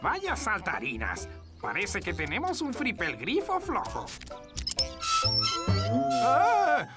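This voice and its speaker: Wildly swooping tiny cartoon creature. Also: female voice, mid-range voice, takes it slowly. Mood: puzzled. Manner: excitable, dim, echoing his partner. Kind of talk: children's cartoon dub. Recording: music behind the voice.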